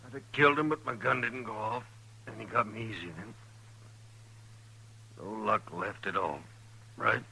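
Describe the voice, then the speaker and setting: Dying cowboy gunman, low raspy strained voice, sharp and bitter, old radio western. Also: moderate pace, pauses often, slight background noise, heavily acted, poor audio. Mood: pained. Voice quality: husky, strained. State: gravely wounded, labored.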